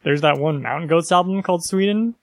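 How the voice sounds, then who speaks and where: A man's voice: fairly high pitch, brisk, creaky, dry recording, studio quality. A young man co-hosting a casual comedic podcast, laughing often and teasing his co-host with playful questions.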